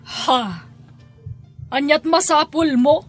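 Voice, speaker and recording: A woman playing a sharp-tongued, jealous, domineering wife and stepmother in an Ilocano radio drama, scolding and curt. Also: high voice, animated, very slow, faint music behind, full character voice. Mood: curt, hostile.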